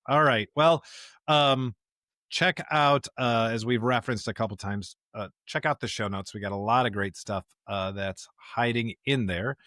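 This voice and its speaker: A man's voice: mid-range voice, unhurried, sharp tone, no room sound. Man co-hosting a conversational podcast, dry sound. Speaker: podcast host and pastor. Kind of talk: conversational podcast discussion with scripture reading.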